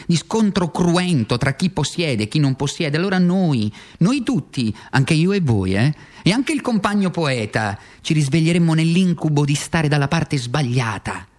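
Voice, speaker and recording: A man's voice: medium pitch, natural voice. A man performing a radio theatre monologue as a worn-out, bitter and ironic former communist, declaiming with dramatic swings. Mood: bitter resignation.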